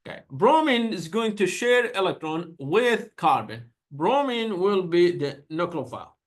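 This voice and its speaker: Chatty lecturer, Persian-native, slightly high voice. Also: male voice, very animated, unhurried. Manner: chatty, many fillers and restarts; accented English with small grammar slips. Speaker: chemistry lecturer. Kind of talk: university lecture.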